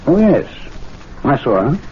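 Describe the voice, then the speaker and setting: An actor playing a dry, composed, knowing gentlemen's club member in a 1970s radio drama, measured and wry. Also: male voice, medium pitch, sing-song. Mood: probing, calm. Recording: muffled, noisy old radio broadcast recording.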